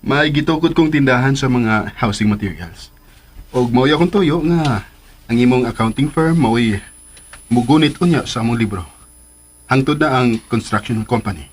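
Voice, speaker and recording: A man's voice: medium pitch, animated, normal speed, husky. An actor with a Filipino accent playing a smitten man scheming to win back an old love in a radio drama. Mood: earnest, courteous. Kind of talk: Philippine radio drama acting.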